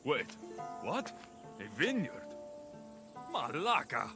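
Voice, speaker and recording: An actor playing a tough, sardonic young Greek mercenary in a video game, with a Greek accent. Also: male voice, a little high, huge pitch swings, takes it slowly, music in the background, heavily acted. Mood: wry. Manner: wry, sardonic. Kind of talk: dramatic video game acting.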